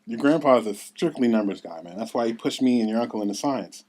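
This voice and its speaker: A man's voice: mid-range voice, expressive, quick pace, a little mellow, natural voice. A man reading the part of a loving father who earnestly mentors his powerful daughters, at a script table read. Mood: earnest, proud.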